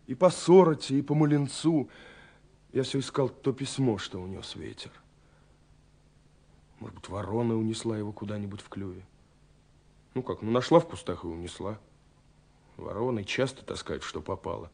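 A man's voice: on the low side, moderate pace, long pauses. An actor playing a romantic, dreamy young man who speaks his love letters in a lyrical, intimate radio play monologue. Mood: wistful, intimate, then dreamy, drowsy. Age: young adult. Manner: lyrical, intimate, swinging between hushed and exclaiming. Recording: slightly husky-sounding 1979 radio recording. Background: soft music.

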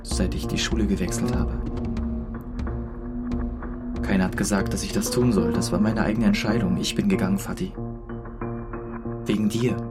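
An actor playing a heartbroken teenage ex-boyfriend in a radio play, awkward and pleading, in a naturalistic style. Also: male voice, on the low side, fairly even intonation, a little fast, loud music behind the voice, close to an everyday voice. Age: young adult.

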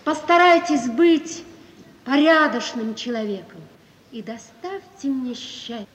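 An actress playing a gentle, wronged wife who speaks with dignified, solemn resolve in an old radio play. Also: female voice, high-pitched, expressive. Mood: solemn, pleading. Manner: solemn, measured, earnest declamation. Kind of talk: 1950s Soviet radio play acting. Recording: dull, distant 1950s radio recording, hall reverb.

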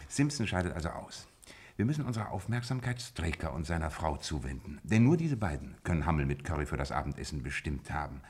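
A man playing a shrewd, self-assured detective in a German radio play, explaining things in a measured, methodical way. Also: rumbling low, sing-song, darkish tone, husky, full character voice.

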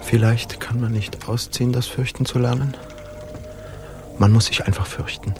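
A man's voice: mid-range voice, fairly even intonation, normal speed, over music, close to an everyday voice. An actor playing a brooding, pensive, awkward man aboard ship in a radio drama, speaking reflectively.

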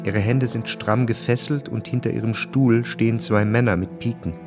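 A man narrating a radio drama in a calm, measured way. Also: medium pitch. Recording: music bed under narration.

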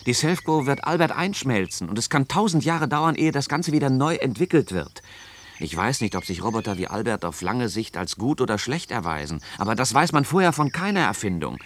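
An actor playing a beleaguered inventor in a radio play, exasperated but kind, shifting between resignation and agitated protest. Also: male voice, mid-range voice, very animated, fairly quick, slight background noise. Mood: pleading. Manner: agitated, swinging from hushed resignation to loud protest. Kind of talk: German radio play acting.

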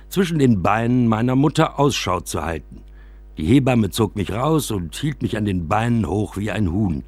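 Low husky guy wry storyteller telling his childhood for a radio play, really animated. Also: no echo. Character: man recounting his railway-side childhood with wry exaggeration. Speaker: narrator. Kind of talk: scripted first-person radio play narration, storytelling.

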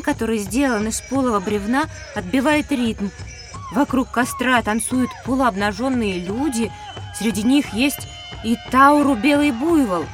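A woman playing an inquisitive child companion in a children's educational audio drama, telling what the child sees in an expressive, descriptive way. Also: a little high, recorded from a distance, lightly acted. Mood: descriptive wonder.

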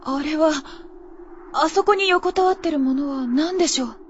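A woman acting an earnest, tentative young woman on an expedition in a Japanese radio drama, with hushed delivery. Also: sits high, moderate pace, highs cut off, a little hiss or hum, lightly acted. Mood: hushed wonder.

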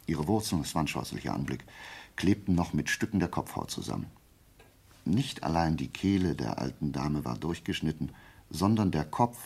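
An actor playing a brilliant, self-assured detective in a German radio play, explaining deliberately and prodding with rhetorical questions. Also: male voice, very deep voice, vocal fry, darkish tone, husky, full character voice. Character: brilliant, methodical male amateur detective, self-assured and theatrical.

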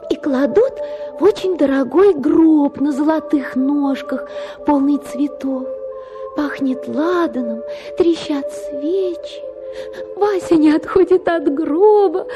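Capricious young wife playing the invalid, extremely high voice, melodramatic sighs, radio drama over music. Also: female voice, unhurried. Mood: dreamy, melodramatic. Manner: affected, sighing, melodramatic. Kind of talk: dramatized literary short story for radio.

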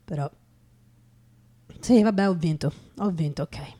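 A weary, wry old drinker, played by a woman in a radio play monologue. The voice is low and husky, breaking into breathy whispers. The words come slowly, muttered and repeated, heavy with bitterness. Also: warm tone. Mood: wry, resigned.

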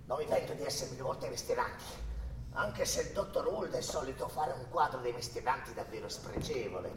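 A man playing a nervous, obsequious long-time defendant in a radio drama, stammering and repeating himself as he swings from furtive murmurs to shouts. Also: animated, brisk, close to an everyday voice. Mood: rambling, brooding. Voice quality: shrill when agitated, dropping to a creaky murmur. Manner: pleading, stammering, repeating words, swinging between whispers and shouts. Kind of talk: theatrical radio drama acting. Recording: echoey stage, distant mic, background noise.

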